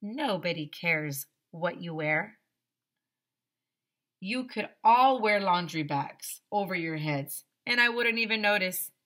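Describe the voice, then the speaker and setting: A woman reading a children's story aloud in an expressive, playful way, speaking directly to young listeners as a teacher would. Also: on the low side, takes it slowly. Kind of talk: animated children's read-aloud.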